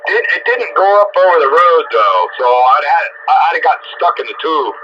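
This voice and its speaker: A higher-voiced American man chats casually as host over CB radio. His delivery is sharp and forceful, with folksy, joking turns of phrase. The signal is dull, like AM two-way reception. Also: expressive, moderate pace, slight background noise. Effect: CB radio transmission. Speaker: amateur radio round-up host. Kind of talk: casual on-air radio chat.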